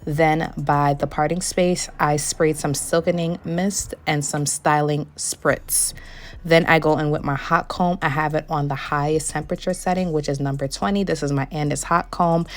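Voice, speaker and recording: A young woman with a low voice that is still a little hoarse narrates a beauty vlog. She explains each step of a wig install in a relaxed, friendly way. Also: normal speed. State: hoarse, recovering from losing her voice. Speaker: beauty vlogger reviewing a wig. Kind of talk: product review voice-over.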